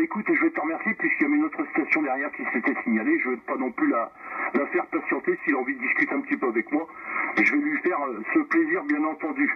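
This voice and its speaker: A man chatting casually as an amateur radio operator, repeating his phrases, heard over a radio transmission. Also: medium pitch. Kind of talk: casual radio contact chat. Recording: narrow phone-like radio band, noisy.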